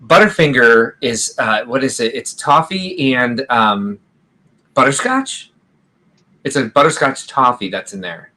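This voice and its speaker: A man's voice: medium pitch, animated, a little slow, bright, forceful tone. A man co-hosting a casual livestream chat, rambling and reading viewer comments aloud with frequent laughs. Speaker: podcast co-host.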